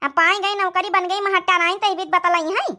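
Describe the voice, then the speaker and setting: A woman's voice: very high voice, fairly even intonation, brisk, sharp tone. A woman voicing a scolding old village mother-in-law in a cartoon comedy, complaining in a rustic Awadhi accent. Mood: wailing complaint.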